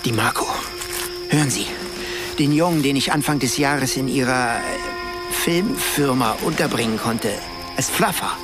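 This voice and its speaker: An actor in a German radio crime drama playing a nervous, guarded man who talks haltingly and secretively. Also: mid-range voice, music in the background, close to an everyday voice. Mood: anxious, secretive. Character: nervous, secretive influential man being blackmailed.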